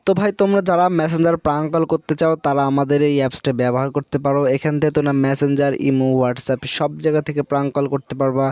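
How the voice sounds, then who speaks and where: Very fast-talking Bangladeshi man, tutorial host. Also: on the high side, fairly even intonation, darkish tone, clear, resonant tone, no room sound, clean studio sound. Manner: repetitive, frequently seeking confirmation with tag questions. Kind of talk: casual unscripted screen-recording tutorial.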